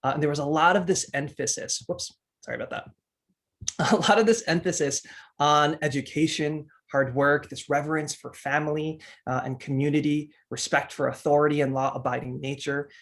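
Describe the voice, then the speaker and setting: A man's voice: a little high, steady tone, moderate pace, fairly bright tone. A man in his thirties from the United States, a teacher co-hosting a webinar. He presents in an earnest way with frequent restarts and fillers. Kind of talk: presenting a discussion talk.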